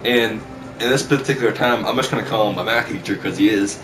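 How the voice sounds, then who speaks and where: A young man with a fairly low voice tells stories casually and brashly, swearing and repeating himself as he goes. He is some way from the mic, with music clearly playing behind him. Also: steady tone, fast talker. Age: teenager or young adult. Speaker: vlog host telling school stories. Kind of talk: casual storytelling chat. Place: small bedroom, indoors. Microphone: camera mic at a distance. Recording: distant mic with loud music.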